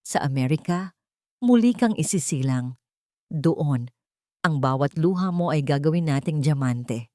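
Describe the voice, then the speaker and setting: A woman's voice: deep voice, expressive, measured pace, long pauses, darkish tone, dry recording, clean studio sound. Woman voicing a grave, commanding vengeful mentor. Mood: cold, resolute. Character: stern, vengeful man, a calculating mentor.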